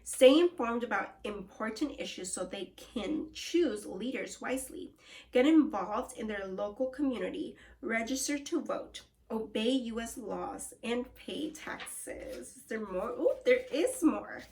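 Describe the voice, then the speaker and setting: Lady teacher doing a read aloud for little kids, kinda high voice, encouraging, prompts them with questions. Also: animated, measured pace, darkish tone. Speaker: elementary school teacher. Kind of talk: read-aloud lesson for young children.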